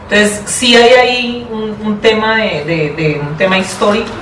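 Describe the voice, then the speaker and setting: A woman with a Colombian accent answering interview questions in a thoughtful, deliberate way, often repeating and rephrasing herself. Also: medium pitch, a bit bright. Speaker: interview guest, public education official. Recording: roomy, distant mic, faint noise.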